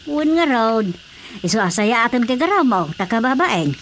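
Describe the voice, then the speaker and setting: A woman's voice: fairly high pitch, big pitch swings, moderate pace. A woman playing a stern, admonishing aunt in an Ilocano radio comedy drama, with an Ilocano accent and a matter-of-fact manner. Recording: faint background noise.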